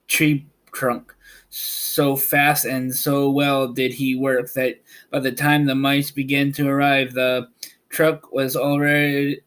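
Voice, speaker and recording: A man reads a storybook aloud at a slow pace, in a mid-pitched voice. His delivery is halting, with the occasional stumble over a word, like an amateur audiobook narrator. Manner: halting, stumbles and corrects words. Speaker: podcast host reading a storybook aloud.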